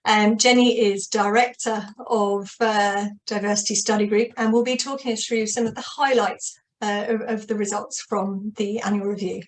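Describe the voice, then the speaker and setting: A woman's voice: medium pitch, steady tone, normal speed, hardly any pauses, low-quality recording. A woman hosting an online webinar and opening it with upbeat remarks over a video call. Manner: upbeat, many fillers and restarts. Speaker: webinar host.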